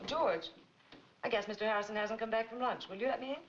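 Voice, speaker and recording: An actress playing a gracious, wealthy middle-aged wife in a 1940s film, polite, eager and genteel. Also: female voice, fairly high pitch, quick pace, natural voice. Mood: friendly, eager. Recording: dull, noisy 1940s film soundtrack.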